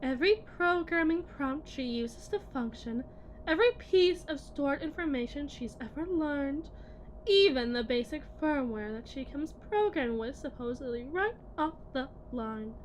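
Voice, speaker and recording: A woman playing a cold, condescending lead technician in an ASMR audio roleplay, speaking deliberately and often dropping toward a breathy whisper. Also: high voice, expressive, slight background noise, rough recording. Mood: clinical, detached. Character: cold, condescending female lead technician at shady tech company.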